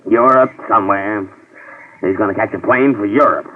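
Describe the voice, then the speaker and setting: A man's voice: lowish voice, moderate pace, lightly acted. An actor playing a worried, whining middle-aged bail bondsman in a 1950s radio drama. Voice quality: whining, pleading. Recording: tinny lo-fi old radio broadcast.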